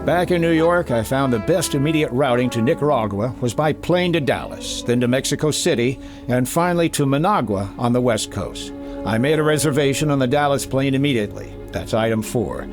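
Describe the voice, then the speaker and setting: A man's voice: mid-range voice, expressive, a little fast, music in the background, lightly acted. A man playing a wry, suspicious hard-boiled insurance investigator in a 1950s-style radio detective drama, narrating and talking punchily.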